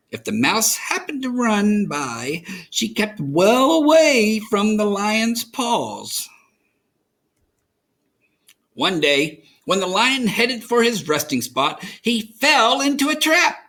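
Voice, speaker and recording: Animated American man reading a children's story. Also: sits high. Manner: expressive, dramatic storytelling. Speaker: children's story reader, host.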